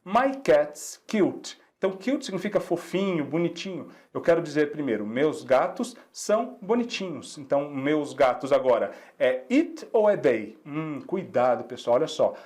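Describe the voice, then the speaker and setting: A Brazilian man teaches English in a friendly lesson, his first language being Portuguese. His voice sits a bit high for a man and swings up and down with animated energy. He walks through exercises step by step, repeating phrases slowly so students can copy them. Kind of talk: classroom lesson explaining exercises.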